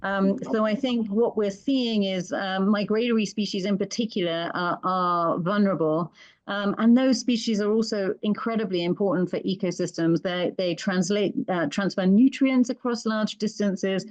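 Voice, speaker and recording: A woman's voice: mid-range voice, moderate pace, a little mellow, no echo, muffled recording. A woman with a British accent, answering interview questions as a conservation expert in an earnest, thoughtful way with frequent ums and restarts. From Britain.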